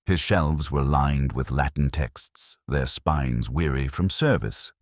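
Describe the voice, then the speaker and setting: Man as a very deep-voiced, weary detective narrator. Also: dry recording, studio quality, heavily acted. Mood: weary, pensive. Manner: measured, literary, brooding. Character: weary, pensive male detective inspector narrating his case. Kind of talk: scripted first-person mystery narration.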